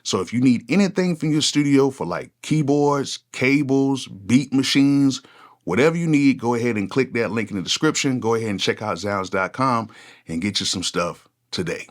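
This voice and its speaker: A man's voice: mid-range voice, animated, moderate pace. A man in his forties, a music producer talking casually to camera, with a loose, conversational delivery. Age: 40s. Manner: casual, conversational, repeats "go ahead" often. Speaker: music producer, channel host. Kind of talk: unscripted one-take talk to camera.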